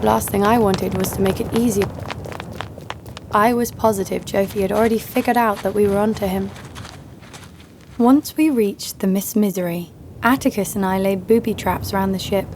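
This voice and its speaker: A woman's voice: mid-range voice, steady tone, normal speed, a little mellow, slight background noise, lightly acted. A woman playing a sardonic, vengeful bounty hunter who narrates her own story in an audio drama. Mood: wry, tense. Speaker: lead actor and narrator.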